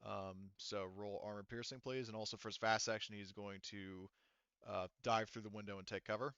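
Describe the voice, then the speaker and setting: Male game master, lowish bright voice, clean tone, halting rules explanations, slightly dull lo-fi audio. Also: fairly even intonation, clear, resonant tone, dry recording. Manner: halting, thinking aloud while explaining rules. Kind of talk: running an online tabletop roleplaying game.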